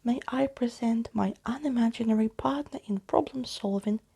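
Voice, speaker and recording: A woman's voice: fairly high pitch, normal speed, gravelly, warm tone, husky. A woman voicing a theatrical, wordy male skeleton with a grand, jaunty manner in a storybook. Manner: grandiose, exclamatory.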